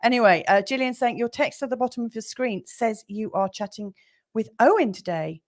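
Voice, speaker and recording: A woman hosting a casual live stream, with a slightly croaky voice and a rambling, chatty manner full of fillers. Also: a little high, expressive, brisk. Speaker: livestream host.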